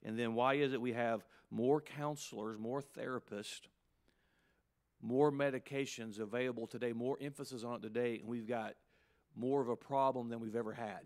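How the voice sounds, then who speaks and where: A man in his fifties, a pastor preaching a sermon with emphatic, punchy delivery and quick asides. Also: mid-range voice, steady tone, darkish tone. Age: 50s.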